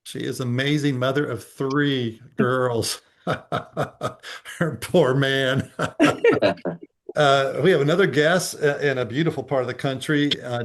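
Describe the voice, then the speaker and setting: A man hosting a casual networking video call, upbeat and persuasive with lots of fillers. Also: medium pitch.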